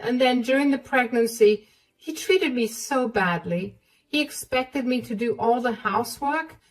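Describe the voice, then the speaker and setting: A woman's voice: on the high side, distant mic, pitched above the performer's own voice, rough recording. A woman voicing a distressed young wife, pleading, shaky and apologetic in a storybook tale.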